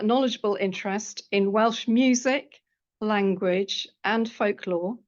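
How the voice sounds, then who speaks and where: A woman reads an academic paper aloud in a lecture room, keeping to a measured scholarly pace and adding the odd dry aside. Her voice sits at an ordinary middle pitch for a woman. The recording sounds somewhat dull and lo-fi. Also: darkish tone. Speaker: historian giving a seminar paper.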